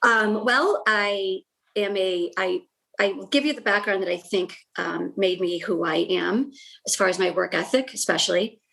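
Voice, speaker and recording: A woman's voice: medium pitch, moderate pace, gravelly, a bit bright, poor audio. A middle-aged woman born in the United States, whose first language was Portuguese, speaking as a guest and reflecting with many "um"s and "you know"s. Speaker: salon owner guest. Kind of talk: casual interview chat.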